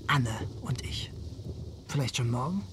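A man's voice: mid-range voice, animated, unhurried, background noise, close to an everyday voice. An actor playing a jealous, self-ironic husband, narrating his story in a literary radio drama with theatrical, brooding delivery. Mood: brooding, wry.

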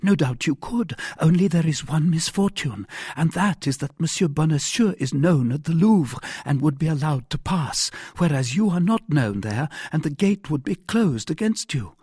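Man voicing an anxious young woman, pitched high. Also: expressive, moderate pace, hardly any pauses, a little mellow, slightly rough, no room sound, clean studio sound. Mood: explaining. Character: affrighted, anxious pretty young married woman, earnest and pleading. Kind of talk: audiobook character voice.

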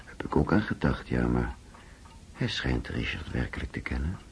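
Deep, creaky, wary middle-aged father. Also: moderate pace, slight background noise, full character voice. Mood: sleepy, cautious. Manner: probing, insistent, expressive. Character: wary, doubting father, head of household. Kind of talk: Dutch radio play acting.

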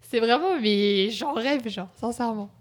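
Young student woman host, higher pitch, friendly chatty delivery. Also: measured pace. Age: young adult. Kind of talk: casual radio chat.

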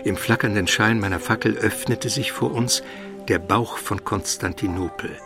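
A man's voice: fairly low pitch, normal speed, music in the background, lightly acted. A man playing an educated Byzantine minister who narrates his memories solemnly and vividly in a radio play. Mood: measured, awed. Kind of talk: dramatic scripted first-person narration, radio play.

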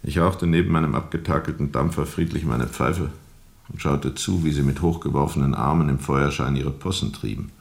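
Weathered sea captain, rock-bottom pitch, fry in the voice, grave, deliberate, brooding radio-drama storyteller. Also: male voice, darkish tone. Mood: brooding recollection. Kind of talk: radio drama narration, recounting under questioning.

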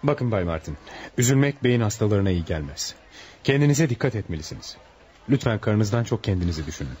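An actor playing a calm, formal male hospital doctor giving firm advice in a radio play. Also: on the low side, fairly quick, a little hiss or hum. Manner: formal, advising firmly. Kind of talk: Turkish radio play acting.

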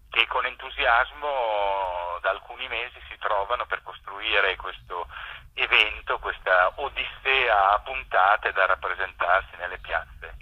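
A man, a theatre maker giving a radio interview by phone with emphatic, deliberate phrasing over a muffled line. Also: lowish voice.

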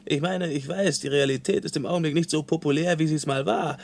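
Sarcastic male space traveller: dry, argumentative, exasperated, slightly high pitch, radio play. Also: steady tone, a little fast, creaky, darkish tone. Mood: scornful disbelief.

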